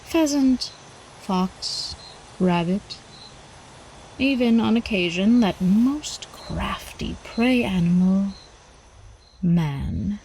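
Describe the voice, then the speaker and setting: A woman playing a predatory older vampire countess in an ASMR roleplay, haughty, teasing and possessive, with breathy drawling speech that often drops into whispers. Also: mid-range voice, lively intonation, drawn-out words, long pauses, a little mellow, a little hiss or hum, low-quality recording. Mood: savoring, menacing. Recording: faint night insect ambience behind.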